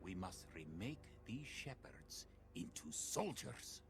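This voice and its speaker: An actor playing a grave, resolute medieval Scottish soldier, narrating a war in a dramatic, theatrical storytelling style for a game cutscene. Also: male voice, low voice, very animated, takes it slowly, vocal fry, heavily acted. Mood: grim. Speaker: campaign narrator. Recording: music under the voice.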